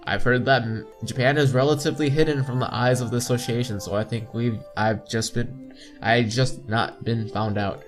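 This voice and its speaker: A man's voice: medium pitch, steady tone, normal speed. A young man reading visual novel text aloud as a playthrough host, informally, stumbling now and then and correcting himself, with casual asides. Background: game music.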